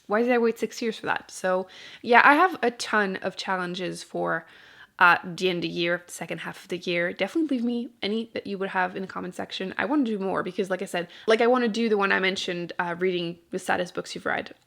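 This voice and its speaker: A woman with a noticeably creaky, fry-edged voice at a middling pitch. She chats informally as a book vlogger, rambling a little and cutting into her own sentences with dry understatement. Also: fairly quick, a bit bright. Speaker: book vlogger and channel host. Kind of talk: casual chat to camera about books.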